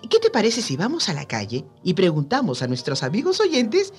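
A woman's voice: mid-range voice, huge pitch swings, moderate pace, breathy edge, soft background music. A woman co-hosting a radio programme, expressively addressing listeners in a scripted conversational style. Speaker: radio co-host.

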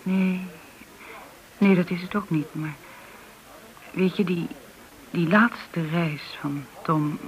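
A woman's voice: fairly low pitch, slow, pauses often, slight background noise, poor audio. An actress playing an independent middle-aged woman in a radio play, speaking in a candid, familiar way. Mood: uneasy, hesitant. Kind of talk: naturalistic radio drama acting.

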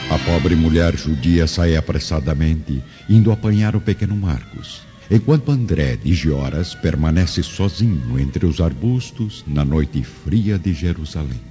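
A man's voice: rumbling low, animated, a little slow, hardly any pauses, dark tone. A man with a Brazilian accent narrating a scripted radio drama in a solemn, dramatic manner. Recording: dull lo-fi audio with clear music bed.

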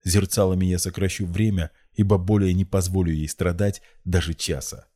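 Guy acting a desperate feverish young hero, audiobook, low dark voice, intense and curt. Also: fairly even intonation, moderate pace. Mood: frenzied resolve. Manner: intense, curt, through clenched teeth. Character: feverish war-worn young man, desperate and resolute.

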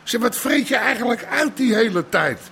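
An actor playing a bullying, foul-mouthed red-light crime boss in a radio drama, gruff and sarcastic. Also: male voice, extremely high pitch, animated, moderate pace, pushed and bright, a little hiss or hum, exaggerated voice. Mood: sarcastic, irritated.

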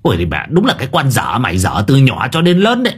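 A man voicing a gruff, foul-mouthed old man in an audio story, grumbling bluntly. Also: mid-range voice, big pitch swings, normal speed. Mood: scornful.

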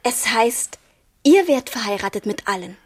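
An actress in a radio drama playing an adoring, naive young girl with a breathy voice and a coy, teasing manner. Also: on the high side, animated, moderate pace, dry recording, studio quality. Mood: playful, teasing. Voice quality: breathy, often near whisper.

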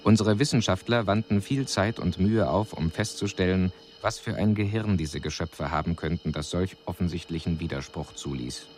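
A male actor as an extraterrestrial envoy with a deep voice. He reads out an official report in a cool, precise and measured way, as in a radio play. A faint noisy ambience sits behind the voice. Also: a little mellow, natural voice. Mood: detached, formal. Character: male alien envoy reporting coolly on a doomed city. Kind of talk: scripted radio drama, formal report. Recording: radio drama recording with audible background noise.

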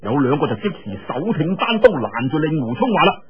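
A man with a husky voice tells a martial arts story in a dramatic, colloquial style with drawn-out particles. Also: on the high side, very animated, a loud crowd. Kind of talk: animated Cantonese storytelling of a martial arts novel. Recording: dull, lo-fi radio-like audio.

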